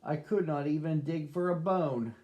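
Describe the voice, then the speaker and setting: A man's voice: fairly high pitch, moderate pace, dark tone, muffled recording, natural voice. A man narrating as a sad, lonely young male dog in a children's storybook, reading earnestly. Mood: wistful.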